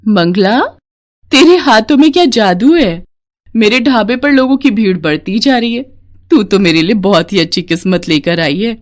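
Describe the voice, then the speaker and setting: A woman's voice: mid-range voice, expressive, moderate pace, natural voice. A kind roadside-eatery owner from a moral storybook, put on by a woman narrator. She is blunt and protective, talking like a big sister. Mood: delighted.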